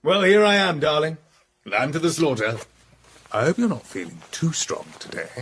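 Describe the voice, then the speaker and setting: An actor playing a suave, mocking, flirtatious male count in a radio drama, with a husky voice. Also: fairly high pitch, sing-song, normal speed, fairly bright tone, a little hiss or hum. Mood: sly, mocking. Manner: suave, taunting.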